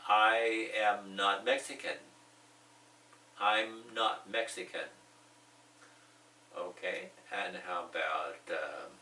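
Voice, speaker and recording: Guy teaching english real slow, deep voice, mic kinda far off in an echoey room. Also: sharp tone, a little hiss or hum. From United States. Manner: slow, repeats example phrases, joking asides. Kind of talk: bilingual language lesson.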